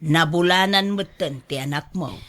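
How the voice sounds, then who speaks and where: A woman playing a fretful, scolding but caring older mother and grandmother in a radio drama, with drawn-out exclamations. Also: fairly low pitch, fairly bright tone, natural voice. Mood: scolding, worried.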